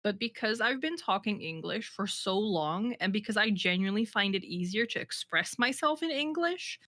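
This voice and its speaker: A woman co-hosting a casual podcast, animated and laughing often, with lots of restarts. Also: medium pitch, normal speed, no room sound, clean studio sound. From Netherlands. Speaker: podcast co-host. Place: living in England for ten years.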